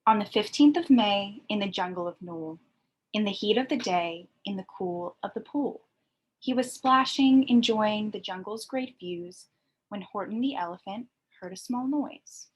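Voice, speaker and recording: A woman reads a rhyming children's picture book aloud in an expressive storytelling style. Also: medium pitch, measured pace, slightly rough, poor audio.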